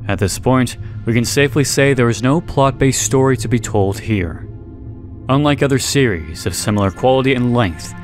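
Male video essay narrator, mid-pitched, measured and deliberate scripted read, music underneath. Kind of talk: scripted narration, horror series analysis.

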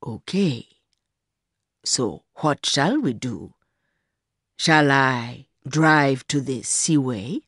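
Woman voicing a low-pitched, patronising older man. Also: expressive, a bit bright, slightly rough, no echo, clean studio sound, full character voice. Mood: smooth, probing. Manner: slow, condescending, fatherly. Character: older rich man, smooth, patronising secret lover. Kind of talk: acted dialogue in audiobook story.

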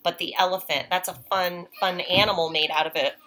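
A woman's voice: on the low side, fairly even intonation, a little fast, pushed and bright. A woman in her thirties giving a read-aloud lesson for children, explaining simply and often checking in with short tags. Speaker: mother and teacher reading aloud for children. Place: indoors, small room at home.

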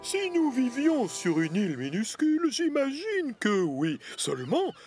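A man's voice: extremely high pitch, huge pitch swings, measured pace, a little mellow, soft background music, exaggerated voice. A man voicing a proud, bossy railway controller in a children's cartoon, theatrical and emphatic. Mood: proud, official. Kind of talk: animated children's series dubbing.

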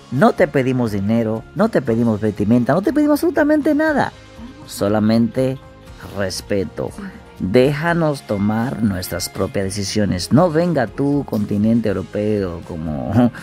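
A man's voice: medium pitch, darkish tone. A man in his thirties giving casual, chatty commentary to viewers from home on a webcam. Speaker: reaction streamer.

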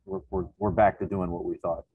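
A man's voice: low voice, little pitch movement, a little fast, slightly rough, no echo, phone-quality sound. A man, a sports reporter, asking a long, meandering question over a video call. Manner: meandering, thoughtful phrasing.

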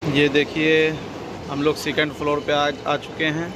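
Young male vlogger, higher voice, flat delivery, phone mic, heavy crowd and traffic noise behind. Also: unhurried. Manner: talks to viewers while walking, repeats "ye dekhiye". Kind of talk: casual walking vlog. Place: large shopping mall, indoors, then street and metro. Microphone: phone held at arm's length. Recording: loud background noise from mall and traffic.

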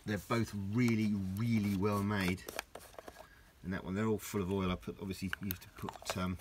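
A middle-aged man with a low voice, rambling through a casual hands-on garden demonstration with lots of restarts. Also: level tone, normal speed, background noise. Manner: rambling, with many restarts and fillers. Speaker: DIY vlogger. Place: outdoors in a garden.

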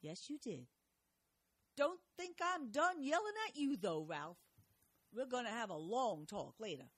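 A woman's voice: a little high, sing-song, unhurried, slightly rough, no room sound, exaggerated voice. A woman playing a fiery scolding housewife. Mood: huffy. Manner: scolding, sing-song, dramatic swings. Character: scolding small-town housewife, motherly, fiery. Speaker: wife of the host figure. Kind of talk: amateur audio drama acting.

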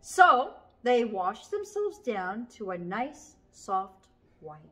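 A woman reads a picture book aloud for young children. Her voice is high and very animated in pitch, at a slow, deliberate pace. She is expressive and dramatic, prompting with questions. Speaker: children's storyteller with a puppet. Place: small furnished indoor room.

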